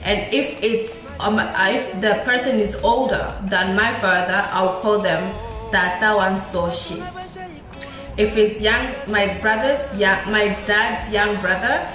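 A young woman, a native Ebembe speaker, teaches a casual online lesson in quick, friendly explanations and drills each word by repeating it. Her voice rings around a very echoey small room. Clear music plays behind her. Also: medium pitch. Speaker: language teacher.

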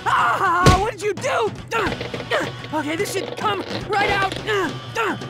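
A man's voice: very high voice, unhurried, raised voice. A man playing an anxious, boastful teenage boy superhero sidekick in a cartoon, defensive and melodramatic. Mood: panicked. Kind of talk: cartoon voice acting. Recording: music behind the voice.

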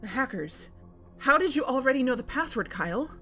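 A woman's voice: high-pitched, animated, fairly quick, bright, forceful tone, faint music behind. A woman playing an inquisitive, unsettled podcast investigator in a naturalistic audio drama. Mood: suspicious, alarmed. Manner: questioning, anxious.

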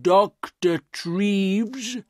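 A man voicing a gentle, sad, humble deformed man in an audiobook, with very slow, halting, laboured speech. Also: high voice, no echo, clean studio sound, voice pushed up. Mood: grateful, pleading. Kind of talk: audiobook character dialogue.

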